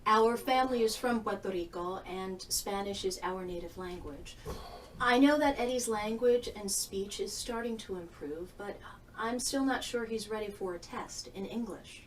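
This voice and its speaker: A woman's voice: medium pitch, normal speed, slight background noise, lightly acted. Woman as an earnest, polite mother. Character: Puerto Rican mother asking about her son's test. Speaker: parent in training video. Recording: distant mic with faint ambience.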